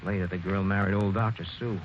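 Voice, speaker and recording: An actor playing an earnest, smitten gentleman who narrates his own mystery in 1940s radio style. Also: low-pitched, fairly even intonation, quick pace, slight background noise, lightly acted. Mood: friendly, animated. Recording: dull old radio recording with music.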